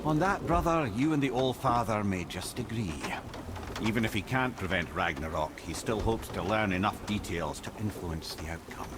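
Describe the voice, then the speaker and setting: An actor playing a wry, learned old Scottish storyteller in a video game, telling tales theatrically. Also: male voice, fairly low pitch, lively intonation, normal speed, noisy background, close to an everyday voice.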